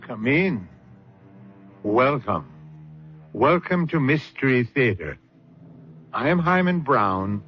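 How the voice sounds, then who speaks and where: A man with a mid-range voice who speaks very slowly and varies his pitch widely. He welcomes listeners in a wry, theatrical way. The sound is dull and lo-fi, with music faint behind him. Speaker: host. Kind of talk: theatrical radio host introduction. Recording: dull lo-fi radio broadcast.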